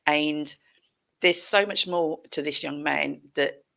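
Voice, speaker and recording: A woman's voice: low-pitched, slow pace, gravelly, fairly bright tone. A middle-aged British woman hosting a casual live chat show over a video call, effusive and informal, repeating phrases for emphasis. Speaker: talk show host. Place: indoor room at home, on a video call. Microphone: headset.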